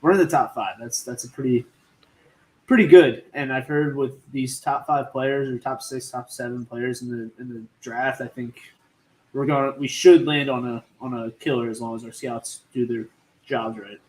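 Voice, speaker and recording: A man's voice: mid-range voice, steady tone, normal speed, darkish tone, low-quality recording. A man co-hosting a casual sports podcast, easygoing and hedging with fillers. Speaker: podcast co-host.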